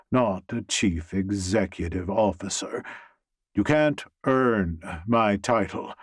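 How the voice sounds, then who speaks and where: A man's voice: medium pitch, no echo, clean studio sound, lightly acted. A man voicing an imperious, wealthy old grandmother with a commanding, haughty, emphatic manner in an audiobook. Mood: proud, firm.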